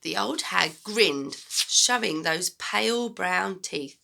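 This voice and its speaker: A woman's voice: lowish voice, expressive, slow pace, creaky, bright, forceful tone. A woman reading a children's storybook aloud expressively, as a teacher would to pupils.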